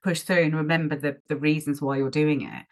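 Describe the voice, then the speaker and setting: A woman's voice: low-pitched, fairly even intonation, a little fast. A woman hosting a podcast, chatting agreeably and asking leading questions. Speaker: podcast host. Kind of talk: casual interview talk.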